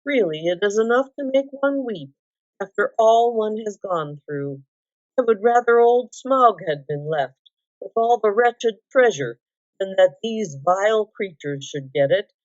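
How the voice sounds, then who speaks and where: A woman voicing a small, fretful, excitable male hobbit in a storybook, with polite old-fashioned phrasing. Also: mid-range voice, natural voice. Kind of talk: dramatic storybook reading. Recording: echoey room, slightly dull sound.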